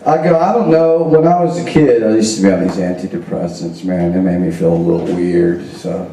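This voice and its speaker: A man's voice: low voice, brisk, mellow, slight background noise. A male comedian telling a casual, profane story in a slangy, rambling stoner way, recorded on an echoey club stage. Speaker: comedian guest on a live show. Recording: echoey live club stage, distant mic.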